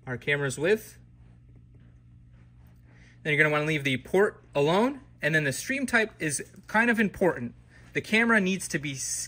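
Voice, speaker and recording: A man's voice: a little high, sharp tone. A man presenting a step-by-step product tutorial in an informal, explanatory way. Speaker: tutorial presenter for a security camera company.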